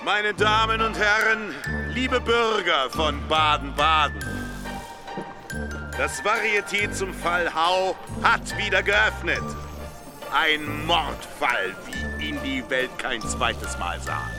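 An actor in a German radio drama playing a variety-show barker, grandly declaiming a sensational murder case. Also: male voice, on the high side, expressive, slow pace, music in the background.

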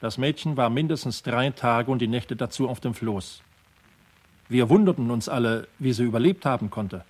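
A man playing a sober first officer of a freighter, giving measured, factual testimony in a radio play. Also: medium pitch, close to an everyday voice. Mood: sober, grave. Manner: measured, factual, short declarative sentences.

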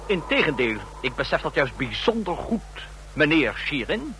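An actor playing a sarcastic, cutting antagonist in a Dutch radio play. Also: male voice, fairly high pitch, big pitch swings, moderate pace, bright, forceful tone. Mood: sarcastic, menacing. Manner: sarcastic, biting. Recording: faintly noisy old radio recording.

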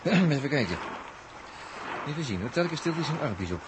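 A noisy old radio drama recording of a jocular male cyberneticist. He has a Netherlands Dutch accent and a lively, fairly high voice, thinking aloud in colloquial, playful phrases. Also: fairly quick. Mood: pondering. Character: cheeky, ingenious male cyberneticist on a space crew. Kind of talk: 1960s Dutch science-fiction radio play acting.

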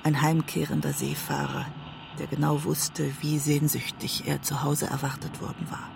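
An actress playing a West German woman writer who narrates an obsessive love story in a measured, introspective way, as in a literary audio drama. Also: sits low, little pitch movement, gravelly, noisy background. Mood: reflective.

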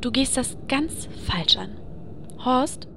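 A woman playing a composed, authoritative guild leader in a fantasy parody audio drama, speaking in a measured, dry way. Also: high voice, animated, gravelly, pushed and bright, slight background noise, full character voice. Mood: composed, commanding.

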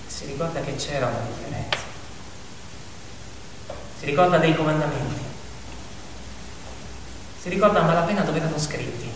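An actor playing a somber storyteller, narrating a bleak animal fable theatrically in repetitive phrases with weighty pauses. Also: male voice, a little high, lightly acted. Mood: somber, subdued. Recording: echoey hall, distant mic, faint hiss.

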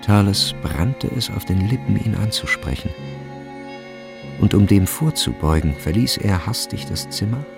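Deep, gravelly male literary narrator. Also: expressive, unhurried, music in the background. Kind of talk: literary radio drama narration, scripted.

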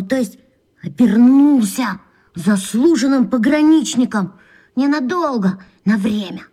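A woman's voice: high-pitched, mellow. A woman voicing an imaginative young schoolboy in a children's radio play, speaking eagerly and spinning theories. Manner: eager, speculative, quick.

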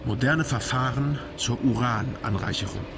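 Actor as a dry, terse investigator, car noise behind. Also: male voice, medium pitch, normal speed, lightly acted. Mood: explanatory. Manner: dry, precise, understated. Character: terse, factual male investigator on a car trip. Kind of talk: radio crime drama dialogue. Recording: clear car and road noise. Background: car, outdoor vehicle noise.

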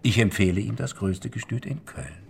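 A man's voice: fairly low pitch, moderate pace, creaky, a little hiss or hum. An actor playing a shrewd, worldly banker's man who tells of secret dealings in a theatrical, storytelling way in a radio drama. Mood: conspiratorial. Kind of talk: German radio drama acting. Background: music.

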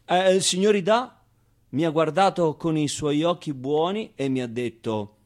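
Theatre monologue: male actor as wry, tender orphan boy, higher-pitched voice, confiding and conversational. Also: a little slow, lightly acted. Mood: wry, candid. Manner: conversational, hesitant interjections, emphatic repetitions. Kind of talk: theatrical monologue, intimate storytelling.

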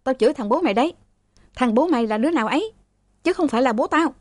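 A woman reading aloud, voicing a rude, defiant young man who hurls insults fast and shrill. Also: expressive, a little mellow, no room sound, clean studio sound. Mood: insulting.

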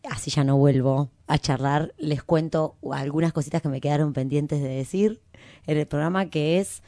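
A woman's voice: low-pitched, normal speed, dark tone, dry recording, clean studio sound. A woman with a Rioplatense Argentine accent hosting a radio show in effusive, casual chat.

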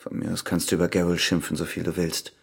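An actor playing a bitter, jealous ex-convict husband with a terse, sardonic way of talking in a radio crime drama. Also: male voice, low-pitched, monotone, fast, full character voice. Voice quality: gravelly, husky.

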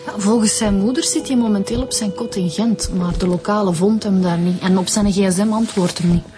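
A woman's voice: mid-range voice, fairly quick, music in the background, natural voice. A woman playing a sceptical, plain-spoken homicide detective with a Flemish accent in a radio drama. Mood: puzzled, sceptical. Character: sceptical, matter-of-fact female homicide detective.

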